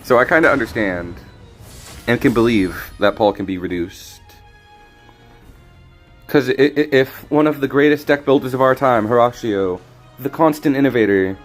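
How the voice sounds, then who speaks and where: A man casually commenting on his card game as he plays, thinking aloud with frequent restarts, his voice a little raspy today. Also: medium pitch. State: slightly raspy voice. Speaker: streamer.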